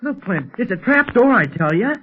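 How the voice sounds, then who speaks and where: A male actor playing an excitable, inquisitive fifteen-year-old boy adventurer in a 1930s radio serial. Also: high-pitched, normal speed. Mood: eager. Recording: muffled 1930s radio recording.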